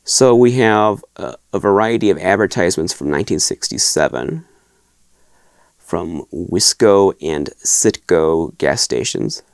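A man in his thirties with a very low voice and a gravelly edge speaks slowly and thoughtfully to camera. His pitch moves a lot as he explains, with plenty of restarts. The sound is close and dry, from a small wood-paneled room. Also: animated, dry recording. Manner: thoughtful, measured, frequent restarts and uh fillers. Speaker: collectibles show host. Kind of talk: casual explanatory talk to camera. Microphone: fixed camera at desk, framed from chest up.

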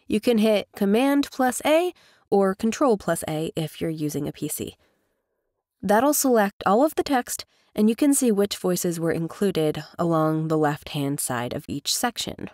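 A woman giving a casual, chatty software tutorial voice-over as host. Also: medium pitch, dry recording, clean studio sound. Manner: informal, chatty. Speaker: tutorial host, professional narrator.

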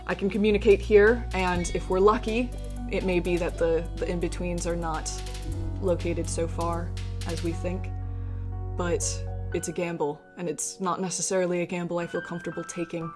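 Woman playing a grave, guarded man, low voice. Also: little pitch movement, music in the background, lightly acted. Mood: guarded, uneasy. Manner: measured, grave, formal phrasing. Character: guarded, weary man, impatient refugee leader with a wife.

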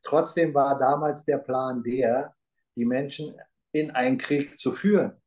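A man's voice: mid-range voice, steady tone, unhurried, a little mellow. A middle-aged man talks on a video call, sitting before a green grass backdrop. He speaks emphatically and rambles, stacking up rhetorical questions. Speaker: talk show co-host. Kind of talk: casual conversation. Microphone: video call webcam.

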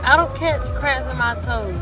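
A woman's voice: high voice, moderate pace, music in the background, crowd noise. A young American woman chatting casually to viewers as a vlogger, recorded on a handheld phone. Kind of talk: casual vlog chat. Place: car, hotel rooms and balcony. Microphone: handheld phone at arm's length.

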